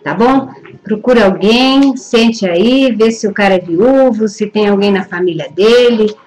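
A woman's voice: mid-range voice, a little slow, a little mellow, soft background music, poor audio. A middle-aged woman with a Brazilian accent hosting a live web TV call-in show, bubbly and laughing often, recorded on a webcam in a small room. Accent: Brazilian Portuguese. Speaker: web TV host taking call-ins. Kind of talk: lively live call-in chat. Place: small indoor room, seated at desk.

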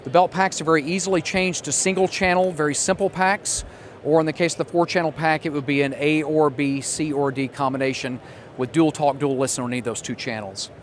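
A man's voice: a little high, steady tone, fairly quick. An American man in his fifties giving a product demonstration as a sales manager. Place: large indoor trade show hall, at a booth. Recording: clear trade show floor noise. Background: busy exhibition hall ambience.

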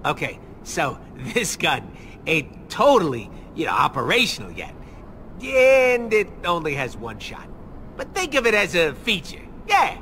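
A man's voice: on the high side, huge pitch swings, a little slow, sharp tone, slight background noise, full character voice. A man voicing a small, brash, gun-crazy raccoon mercenary with a griping, sarcastic manner in a video game. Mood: boastful, wry.

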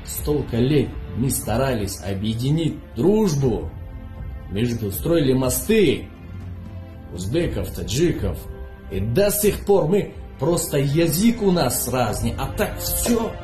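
A man in his thirties giving an impassioned, deliberate appeal in an indoor room. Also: mid-range voice, big pitch swings, warm tone, echoey room, soft background music. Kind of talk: video statement.

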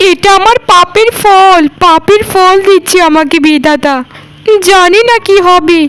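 A woman's voice: very high voice, fairly bright tone, rough recording. A woman playing a remorseful young sister in a melodramatic cartoon, lamenting and pleading with repeated phrases. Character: remorseful young unmarried sister begging forgiveness. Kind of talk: melodramatic cartoon story acting. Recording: music behind the voice.

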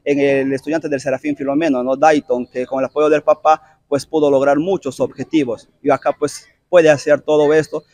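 A man in his thirties with a Peruvian accent, a youth football coach answering an interview on an outdoor pitch, measured and explanatory. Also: flat delivery, darkish tone, no echo. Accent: Peruvian Spanish. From Peru. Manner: measured, explanatory, frequent tag questions. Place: outdoor artificial-turf football field. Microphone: handheld reporter mic held close.

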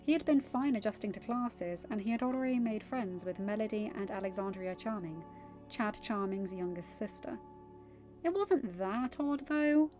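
A woman's voice: mid-range voice, normal speed, a little mellow. A woman narrating a story as an amateur audiobook reader. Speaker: fan fiction reader. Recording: dull lo-fi audio, music behind. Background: music.

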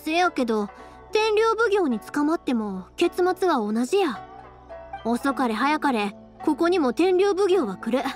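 A woman playing a cheerful, caring, energetic young fireworks maker with a Kansai accent in an anime game. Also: sits high, unhurried, faint music behind. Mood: earnest, concerned.

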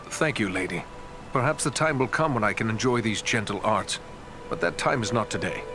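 An actor playing a dutiful, courteous ranger in a fantasy game, with a formal, earnest way of speaking. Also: male voice, lowish voice, animated, brisk. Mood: earnest, respectful. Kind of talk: scripted fantasy game dialogue. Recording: loud music over the dialogue.

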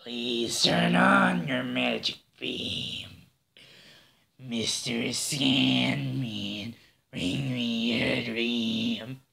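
A man voicing a sinister insectoid android anime villain with slow, eerie crooning. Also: mid-range voice, vocal fry, bright, forceful tone, pitched below the performer's own voice, heavily acted. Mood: creepy, hushed.

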